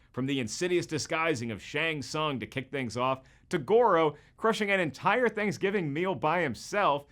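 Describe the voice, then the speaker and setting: A man's voice: fairly high pitch, a bit bright. A man hosting a scripted video commentary in a jokey, colloquial and emphatic way. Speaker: host.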